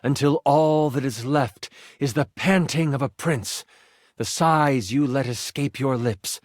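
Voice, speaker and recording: A man voicing an excitable twenty-sided die in a video game, narrating a fantasy tabletop adventure as a dramatic, expressive game master. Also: mid-range voice, a little slow, dry recording, studio quality, lightly acted. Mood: dramatic, suspenseful. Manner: theatrical, expressive storytelling with sighs and asides.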